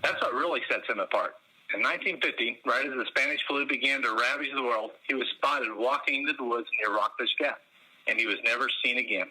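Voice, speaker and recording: A learned, patronizing historian. The voice is raspy and strikingly bright and forceful. He speaks as an erudite curator interviewed in a radio drama. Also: mid-range voice, fast, rough recording. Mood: storytelling. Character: stuffy Southern male historian, learned and condescending. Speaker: museum curator being interviewed.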